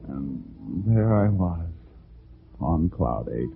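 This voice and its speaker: An actor playing a wry, wisecracking hard-boiled detective, hesitant and dryly humorous, in 1940s radio style. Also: male voice, sits low, sing-song, slow pace, gravelly, exaggerated voice. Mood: wry narrating. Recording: tinny 1940s radio, music behind.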